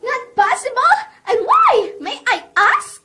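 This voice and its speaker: A woman acting as a querulous invalid wife, very high voice, animated swoops, radio drama, agitated and imperious. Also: slow, very noisy, heavily acted. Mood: exasperated, indignant. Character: anxious, demanding, imperious bedridden invalid wife.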